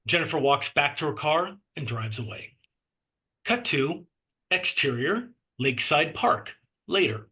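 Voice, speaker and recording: A man's voice: on the high side, animated, a little slow, bright, forceful tone. A man reading screenplay action lines and scene headings as narrator at a table read. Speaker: stage directions reader.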